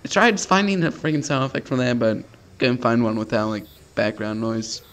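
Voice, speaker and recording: A man's voice: medium pitch, normal speed, a bit bright. A man hosting a gaming video, reading a visual novel aloud casually and stumbling now and then with sweary, self-deprecating asides.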